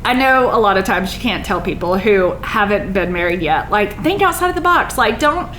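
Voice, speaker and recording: A woman hosts a relaxed podcast interview in a chatty, enthusiastic way. Her voice sits at an average pitch, and the stage she speaks from gives it a roomy echo with a little background noise. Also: a little fast. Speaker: podcast host. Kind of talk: casual conversational interview. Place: on the stage of a small theater.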